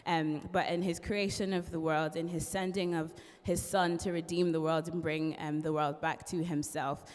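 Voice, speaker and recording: Young woman, mixed irish twang, low voice, lots of ums, big echoey hall sound. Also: level tone, moderate pace. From born in London, raised in Ireland. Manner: chatty, laughs often, many ums. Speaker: guest, trainee barrister. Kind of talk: casual interview chat. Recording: long hall reverb.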